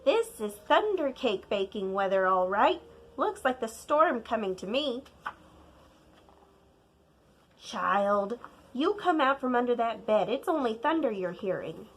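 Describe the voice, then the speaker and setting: Woman playing a steady old farm grandmother, high and emphatic. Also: unhurried, full character voice. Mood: steady, firm. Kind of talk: storybook character voice.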